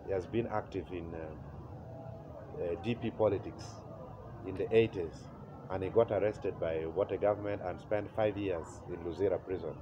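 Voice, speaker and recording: A man in his forties from Uganda with a Ugandan accent, telling his life story as an interview guest, pausing often with "uh" and chuckling at memories. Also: low voice. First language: Acholi. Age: 40s. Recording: slightly muffled with faint noise.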